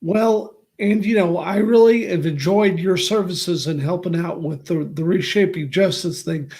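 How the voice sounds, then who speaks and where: A man's voice: high-pitched, darkish tone. A man hosting a podcast over a video call, chatty and affirming as he interviews a guest. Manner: chatty, quick to laugh, many affirmations. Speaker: podcast host. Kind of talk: casual interview chat.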